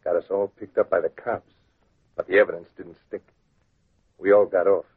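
An actor playing a shifty, defiant small-time crook in an old radio drama, slangy and blustering. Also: male voice, deep voice, level tone, normal speed, husky. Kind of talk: 1940s radio drama acting. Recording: tinny, scratchy old radio recording.

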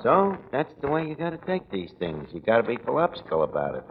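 An actor in an old radio comedy playing a scheming tavern manager with a New York accent, pompously mangling big words. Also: male voice, medium pitch, wildly swooping pitch, fast talker. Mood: wistful, philosophical. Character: scheming, malapropism-spouting male manager of a shabby tavern. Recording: noisy, dull old radio recording.

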